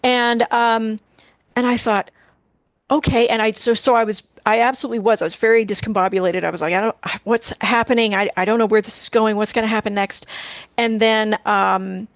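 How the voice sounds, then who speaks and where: A woman hosting a casual interview, talking effusively with halting restarts. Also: fairly high pitch, steady tone, no room sound. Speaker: interview host.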